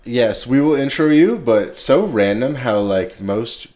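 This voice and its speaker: A man's voice: medium pitch, animated, measured pace, a little mellow. A man hosting a podcast in a casual, laid-back chat, talking in slangy everyday English. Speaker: podcast host.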